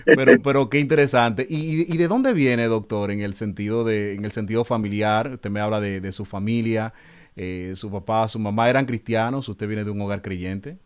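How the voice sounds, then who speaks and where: A man's voice: on the low side, moderate pace, clear, resonant tone. A man, a pastor hosting an interview, asking questions courteously and often restarting and repeating words. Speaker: pastor and host.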